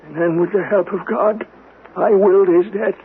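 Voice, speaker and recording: An actor playing a stubborn, haunted old uncle, crusty, halting and dismissive yet fond, in a radio drama. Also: male voice, high voice, steady tone. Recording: tinny noisy old radio broadcast.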